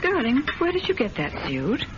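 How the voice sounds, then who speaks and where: An actress playing a prim, solicitous middle-aged wife in an old-time radio drama, proper and faintly reproving. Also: female voice, on the high side, huge pitch swings, normal speed. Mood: disapproving. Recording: noisy, dull old radio recording.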